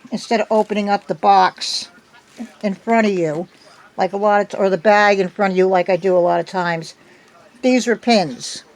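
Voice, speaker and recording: Slow, slightly hoarse older woman. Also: mid-range voice. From United States. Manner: chatty, meandering, many ums. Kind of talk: casual chat. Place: at home indoors. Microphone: phone.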